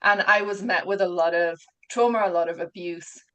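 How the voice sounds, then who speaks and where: A woman with a lowish voice and a mixed British accent, speaking as an interview guest over a video call. She tells a personal story in an open, earnest way and laughs easily between phrases. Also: moderate pace, sharp tone, poor audio. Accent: mixed British and Gibraltarian. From England and Gibraltar. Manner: open, earnest, laughs often while telling her story.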